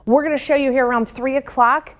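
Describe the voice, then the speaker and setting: High-pitched American woman presenting TV weather. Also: steady tone, a little fast. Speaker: TV weather forecaster and news presenter. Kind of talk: live broadcast weather forecast and news presenting.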